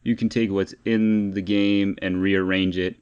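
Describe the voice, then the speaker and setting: A man's voice: low voice, flat delivery, unhurried, darkish tone, smooth, muffled recording. A man co-hosting a podcast, chatting casually and explaining gaming news in an informal, bantering way. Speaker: podcast co-host.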